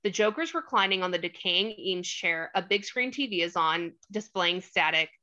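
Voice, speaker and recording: A woman's voice: fairly low pitch, lively intonation, normal speed, bright, forceful tone, muffled recording. A woman reading stage directions as scripted narration at a table read.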